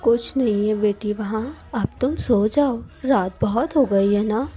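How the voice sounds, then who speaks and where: A woman's voice: mid-range voice, a little slow, dark tone, breathy edge, soft background music, voice pushed down. A woman voicing a caring, anxious mother bird in a cartoon moral story, with a tender maternal manner.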